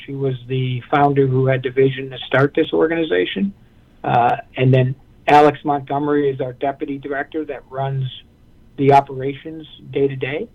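A man's voice: medium pitch, steady tone, normal speed. A man from Massachusetts, a guest on a radio interview, telling a story earnestly with frequent restarts. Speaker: guest, nonprofit representative. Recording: tinny phone line.

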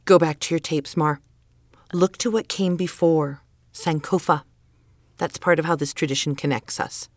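A woman's voice: low-pitched, fairly even intonation, moderate pace, a little mellow, no echo, natural voice. A woman playing a stern, exasperated middle-aged mother who holds to tradition, in a naturalistic audio drama, insistent and scolding. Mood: earnest, coaxing. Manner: insistent, guilt-laden pleading and scolding.

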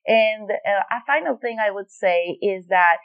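A woman's voice: medium pitch, animated, a little slow, smooth, no room sound, highs cut off. A woman from Venezuela working as an educational consultant, explaining her advice in a podcast interview with some fillers and restarts.

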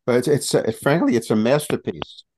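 A man talking as a guest on a video call, halting with many pauses and restarts. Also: medium pitch, animated, a little mellow. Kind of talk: casual talk over video call.

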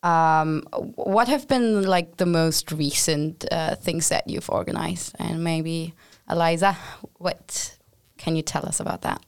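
A woman's voice: low voice, unhurried, gravelly, clean tone. Woman hosting a casual interview podcast. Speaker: podcast host.

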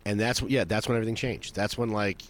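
A man in his forties talks quickly in a slightly low voice. He rambles, swears freely and jumps into quick impressions of other people as he tells his stories. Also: fairly even intonation. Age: 40s. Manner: rambling, profane, acts out quoted voices. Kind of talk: casual chat.

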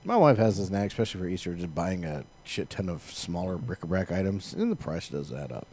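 A man's voice: sits low, expressive, a little fast, a little mellow, husky. A man, perhaps in his thirties, chatting informally about video games as a co-host, with plenty of profanity.

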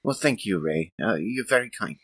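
A man voicing an awkward, mousy woman scientist in her late thirties in a tabletop game, polite, formal and halting. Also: fairly low pitch, lively intonation, fairly bright tone, slightly rough, dry recording. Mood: polite, shy.

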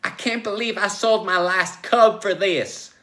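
A brash, demanding tiger-man from a comic story, acted out by a man. The voice is forceful and sharp, with crude, folksy phrasing and a bossy, impatient temper. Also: mid-range voice, a little slow. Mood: angry, exasperated. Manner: demanding, foul-mouthed, folksy grammar. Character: brash, impatient male prisoner turned lustful giant tiger. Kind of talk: comic live story reading.

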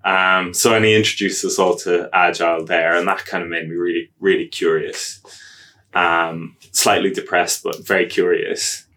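An Irish man with a low voice and a bright, forceful edge, talking through his career as a podcast guest. He speaks casually, with frequent ums and self-corrections, and is wry about himself. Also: flat delivery, moderate pace. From Ireland. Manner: chatty, self-deprecating, many ums and restarts. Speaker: guest, agile coach.

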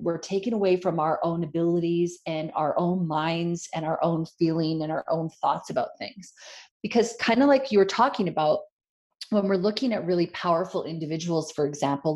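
A woman's voice: on the low side, a little mellow. A woman co-hosting a podcast, speaking earnestly and emphatically, with frequent fillers as she makes her point. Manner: earnest, emphatic, many "you know" fillers. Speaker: podcast co-host. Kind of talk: casual conversational chat.

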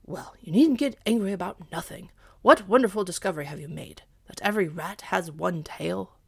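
Storybook dog: gruff, loyal, excitable male hound, gravelly and close-miked dry; a woman reader voicing him. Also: lowish voice, normal speed, no echo. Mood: mocking. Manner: growling, loud and excitable.

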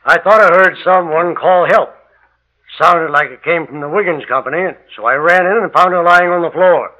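A bright, earnest bystander. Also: male voice, on the high side, normal speed. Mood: concerned, urgent. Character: earnest male bystander, alarmed and helpful. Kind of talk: 1940s radio drama acting. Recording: muffled old radio recording.

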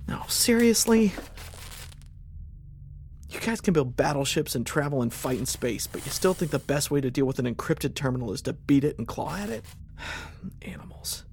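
A scrappy lieutenant in a science fiction audio drama, wry and sardonic as he mutters to himself. His voice sits slightly high with a gravelly fry. The track carries clear noise and small clicks. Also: animated, normal speed. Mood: exasperated, curious. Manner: sardonic muttering to himself, sighing, breaking into shouts. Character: wry, scrappy male space lieutenant alone on a hostile ship. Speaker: narrating protagonist.

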